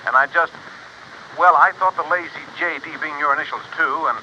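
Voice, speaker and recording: A muffled, noisy vintage radio recording has a folksy cattle rancher. He is evasive and hesitant, with a very bright, forceful tone. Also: on the high side, brisk. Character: nervous, evasive, insistent male western cattle rancher. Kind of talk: 1950s radio drama acting.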